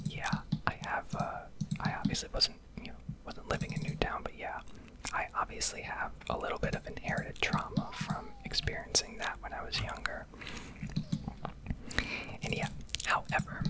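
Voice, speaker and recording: A young American woman from Connecticut whispering a blunt, sweary, rambling ASMR rant in a breathy, unvoiced voice. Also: poor audio. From Connecticut, United States. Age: young adult. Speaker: ASMR streamer.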